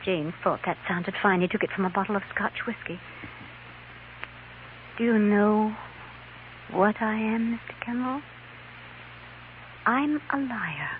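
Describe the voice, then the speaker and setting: A woman's voice: medium pitch, measured pace, pauses often, lightly acted. A woman playing an anxious, tipsy stage actress who feigns English gentility, with an affected, theatrical manner, in a 1950s radio western. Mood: tipsy, confessing. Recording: noisy, narrow-band 1950s radio recording.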